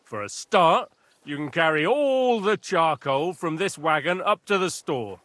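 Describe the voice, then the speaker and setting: An actor playing a blunt, impatient castle guard in a video game. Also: male voice, on the high side, animated, unhurried, a bit bright, no room sound. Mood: gruff. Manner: gruff, curt.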